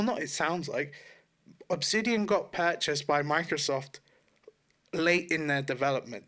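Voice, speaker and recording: A man with a fairly high, very bright voice talks in a casual podcast style. He is informal and loose-tongued, often rephrasing himself as he makes his point. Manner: informal, sweary, self-correcting. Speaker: podcast co-host. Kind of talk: casual opinionated gaming podcast chat.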